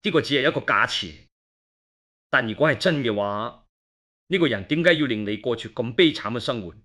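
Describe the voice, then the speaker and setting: A man's voice: mid-range voice, expressive, a little fast, sharp tone. A man acting an inquisitive, level-headed investigator who narrates and speaks in an audio drama. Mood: probing.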